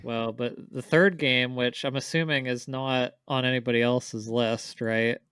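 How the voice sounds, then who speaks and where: A man's voice: mid-range voice, fairly even intonation, measured pace, fairly bright tone, smooth, no echo, clean studio sound. A man chatting casually as a podcast co-host, enthusiastic and rambling about his favorites.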